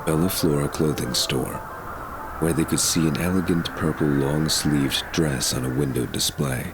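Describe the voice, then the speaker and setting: A man's voice: a bass voice, normal speed. A man narrating a scripted audio drama in the present tense, as a storyteller. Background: music.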